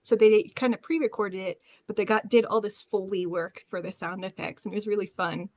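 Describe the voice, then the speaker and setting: A woman speaking as a panelist in an online session, explaining informally with frequent hesitant pauses. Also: mid-range voice, fairly even intonation, darkish tone, no echo. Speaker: panelist, librarian. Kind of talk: informal presentation with slides. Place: online panel, close dry sound.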